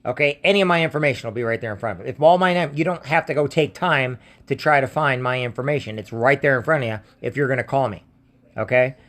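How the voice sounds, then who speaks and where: A man's voice: mid-range voice, fast talker, a bit bright. An American man talking casually in a scam-warning video as an identity theft protection seller, insistent, plain-spoken and given to repeating himself. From United States. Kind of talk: casual warning talk to viewers and on phone.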